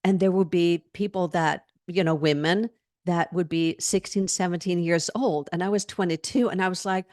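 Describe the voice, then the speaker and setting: A woman's voice: fairly low pitch, steady tone, normal speed, darkish tone, dry recording, clean studio sound. A woman in her sixties answering interview questions over a video call, earnest and reflective, laughing often as she talks. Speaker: fitness trainer and guest.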